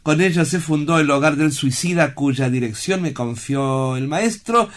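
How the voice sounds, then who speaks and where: Man acting an earnest, lyrical higher-voiced director. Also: a little slow, lightly acted. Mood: earnest, explanatory. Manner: solemn, eloquent, declamatory storytelling. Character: earnest, lyrical male director of a sanatorium for suicides. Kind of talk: theatrical radio play acting.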